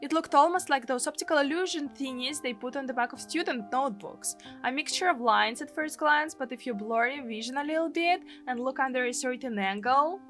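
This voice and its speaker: Let's play casting: woman with Russian accent, bright highish voice, expressive translated storytelling, light music bed. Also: a little fast, few pauses. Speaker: gaming streamer. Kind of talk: let's play, reading a live translation aloud.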